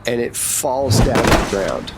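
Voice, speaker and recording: Slow-paced man running a horror game. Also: medium pitch, lively intonation, heavy background noise. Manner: informal, descriptive storytelling. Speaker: game master. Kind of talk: narrating a tabletop horror game.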